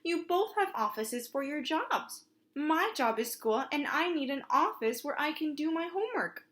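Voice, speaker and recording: A woman's voice: sits high, lively intonation, moderate pace, a bit bright, voice pushed up. A woman voicing an earnest young boy otter from a children's storybook, speaking with emphatic feeling. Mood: upset, pleading.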